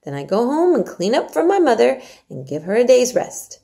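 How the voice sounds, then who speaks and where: Woman acting a hearty high-pitched young country housemaid. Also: sing-song, moderate pace, creaky, mellow. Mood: stoutly scolding. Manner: blunt, emphatic, exclaiming. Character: hearty, outspoken young Yorkshire housemaid with motherly scolding. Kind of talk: storybook dialogue read aloud.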